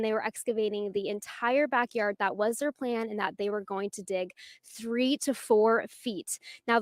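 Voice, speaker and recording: A woman's voice: a little high, dry recording, clean studio sound. An American woman giving a conversational live news report from the field. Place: outdoors in a residential street.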